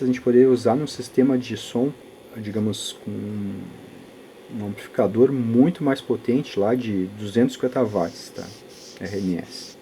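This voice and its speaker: A man with a Brazilian Portuguese accent gives an informal, halting technical explanation, often adding short check-in tags as he teaches. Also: mid-range voice, a little mellow. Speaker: audio channel host and reviewer. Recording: clear background noise, room ambience.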